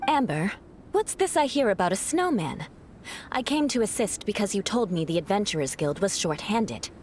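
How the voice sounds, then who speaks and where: A woman's voice: medium pitch, big pitch swings, moderate pace, darkish tone, a little hiss or hum, lightly acted. A woman voicing a proud, reserved noble knight who speaks formally with dry humor, in a game cutscene. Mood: cool.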